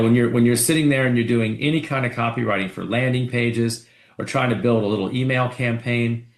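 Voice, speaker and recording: Exec guy on an earnings call, gravelly voice, kinda salesy, repeats himself, roomy echo. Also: mid-range voice. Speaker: company executive. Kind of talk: earnings call remarks, semi-spontaneous product pitch.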